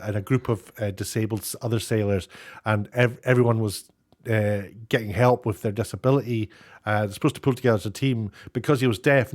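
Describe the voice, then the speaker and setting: Rambling man giving off-the-cuff commentary. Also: mid-range voice. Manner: rambling, halting, thinking aloud. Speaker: online commentator; former BBC worker. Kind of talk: off-the-cuff opinion commentary with read-out quotes.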